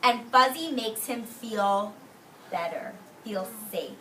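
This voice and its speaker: A woman preschool teacher reading aloud expressively to young children, explaining words simply and giving gentle classroom directions. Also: mid-range voice, slow. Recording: roomy, distant microphone, faint noise.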